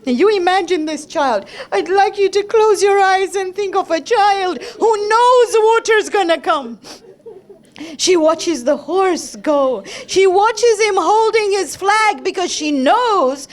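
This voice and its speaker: A very high-pitched woman's voice, tearful and breaking, delivers a religious lament. She tells the story slowly and with deliberate weight, dramatic and full of grief. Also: lively intonation. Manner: dramatic, emotive storytelling, acting out quoted speech. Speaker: religious lecturer. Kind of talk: mournful religious narration, lament sermon.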